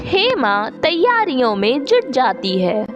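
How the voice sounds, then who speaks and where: A woman's voice: high-pitched, wildly swooping pitch, takes it slowly, lo-fi sound. A woman narrating a scripted cartoon moral story as storyteller. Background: music.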